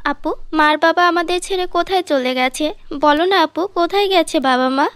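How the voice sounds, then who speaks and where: A very young orphan sister from a cartoon fairy tale , played by a woman. She speaks in a high, childlike voice, pleading and plaintive, in a dry recording. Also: normal speed, fairly bright tone, dry recording. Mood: sad, questioning. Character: little orphan girl, younger sister, hungry and tearful.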